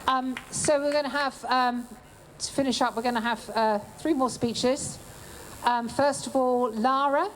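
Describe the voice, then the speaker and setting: A woman compering an outdoor protest rally, introducing speakers in a casual, hesitant way with many pauses. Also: high-pitched, steady tone, a little hiss or hum.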